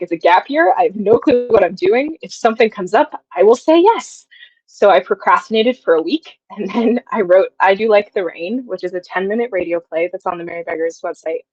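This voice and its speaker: A woman's voice: mid-range voice, a little mellow, no room sound, highs cut off. A young woman telling stories casually and effusively as an interview guest, often acting out remembered conversations. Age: young adult. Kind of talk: casual, anecdotal interview talk.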